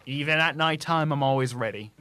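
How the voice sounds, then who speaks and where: Halting, stumbling young-sounding radio presenter. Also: male voice, on the high side, bright, forceful tone. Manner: halting reading with stumbles and restarts. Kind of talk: casual radio quiz segment.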